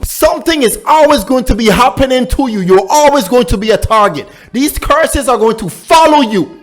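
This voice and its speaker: A man from Guyana teaching from scripture, reading verses slowly and emphatically in a sing-song rise, then explaining them in casual everyday talk. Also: high-pitched. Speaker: religious teacher. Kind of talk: preaching scripture lesson.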